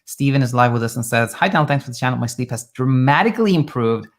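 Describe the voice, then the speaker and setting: A man from Sweden who coaches people on sleep, calmly reassuring as he answers listener questions live and thinks aloud with small restarts. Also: medium pitch, quick pace. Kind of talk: live Q&A advice talk.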